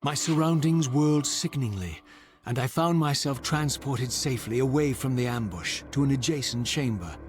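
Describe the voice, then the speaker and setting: A man's voice: medium pitch, lively intonation, normal speed, close to an everyday voice. A male actor playing a brooding, bitter undead wraith with grave, eloquent, theatrical delivery in a game cutscene. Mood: grim, urgent. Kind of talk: dramatic game cutscene acting and first-person narration. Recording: loud music bed behind voice.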